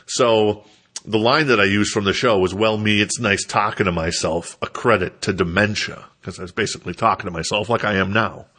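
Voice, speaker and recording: A man's voice: on the low side, fairly even intonation, fairly quick, pushed and bright. A stuffed-up man, rambling casually and self-deprecatingly as he hosts a solo podcast. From Minnesota, United States. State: stuffed up, congested. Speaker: podcast host.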